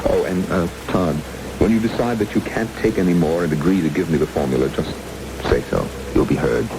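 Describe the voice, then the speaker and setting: A very low, suave villain in an old radio drama. He menaces his captives with deliberate, gloating politeness. The old broadcast sits under loud, rain-like hiss. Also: creaky. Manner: mock-courteous, deliberate, gloating. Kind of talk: vintage radio drama acting.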